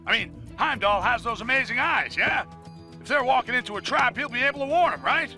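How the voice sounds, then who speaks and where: Bright, high, edgy game commentator. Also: male voice, lively intonation, a little fast, faint music behind, heavily acted. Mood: anxious narrating. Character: excitable worried male commentator following the heroes. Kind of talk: video game narration.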